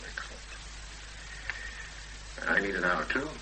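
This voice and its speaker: An actor playing a brisk, impatient man of action plotting a rescue in a 1970s radio drama, speaking emphatically and decisively. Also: sits low, expressive. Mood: brisk, confident. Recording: noisy 1970s radio broadcast recording.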